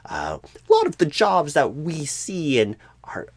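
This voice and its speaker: A man playing a clueless, bumbling job recruiter in a comedic ASMR role-play, rambling with odd pauses and repeated words, flustered but eager. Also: fairly high pitch, huge pitch swings, no echo, heavily acted. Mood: hesitant, apologetic.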